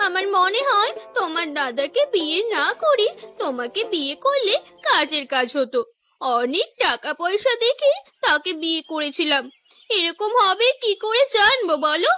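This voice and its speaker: A scheming young village wife from a cartoon, acted by a woman, with an extremely high, bright voice. She coaxes and complains coyly, swinging up and down with lively pitch. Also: moderate pace, no echo, full character voice. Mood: coy, coaxing. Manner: coaxing, complaining. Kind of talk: animated cartoon story dialogue.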